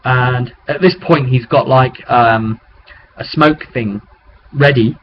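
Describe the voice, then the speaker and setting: A man's voice: medium pitch, slow pace. A man telling the story of a tabletop roleplay session as its game master, casual, colloquial and rambling, recorded on a webcam microphone. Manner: rambling, colloquial, acting out sound effects. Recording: dull, noisy webcam microphone.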